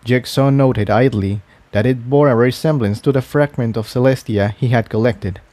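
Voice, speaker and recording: A man's voice: medium pitch, moderate pace, darkish tone, dry recording. A man reading a scripted adventure story aloud as its narrator. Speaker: fan fiction narrator.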